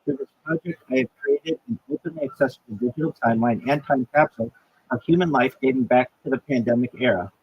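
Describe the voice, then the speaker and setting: A man, a rabbi and livestreamer, reading a prepared self-introduction in a measured, list-like way with occasional restarts. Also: medium pitch, husky, no room sound, highs cut off. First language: not Hebrew.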